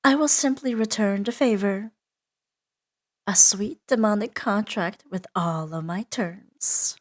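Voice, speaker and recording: Woman acting a slow, seductive, menacing demoness. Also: mid-range voice. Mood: sly, musing. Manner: slow teasing delivery, drifting into breathy whispers. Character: seductive, menacing, playful female demon with imperious poise. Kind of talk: scripted roleplay ASMR audio drama.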